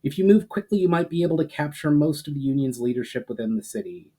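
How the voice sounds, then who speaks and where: A man's voice: a little high, animated, fast, mellow, voice pushed up. A man voicing a resolute elven prince, giving urgent orders in an authoritative, declamatory way for a fantasy story reading. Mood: commanding, urgent. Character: commanding elven prince rallying his fighters, urgent and resolute.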